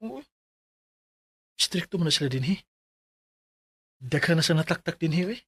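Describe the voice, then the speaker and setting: A man's voice: a little high, lively intonation, moderate pace, fairly bright tone, a little hiss or hum. An actor playing a friendly, chummy male coworker who gives advice in a Filipino radio drama, with a Cebuano accent. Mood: advising. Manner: chummy, colloquial. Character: friendly, advising male office buddy.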